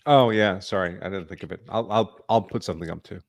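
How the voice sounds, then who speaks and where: A man's voice: low voice, fast talker, gravelly, no echo. A man speaking as a guest commentator on a casual panel, explaining things in an informal, chatty way. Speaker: guest legal commentator on a livestream.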